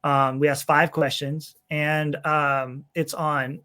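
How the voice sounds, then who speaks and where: A man's voice: fairly high pitch, little pitch movement, slow pace, bright, forceful tone, clean tone. A middle-aged man, a pastor, talking casually as an interview guest, rambling a bit with fillers and easy laughs. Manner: chatty, rambling, laughs easily, many fillers.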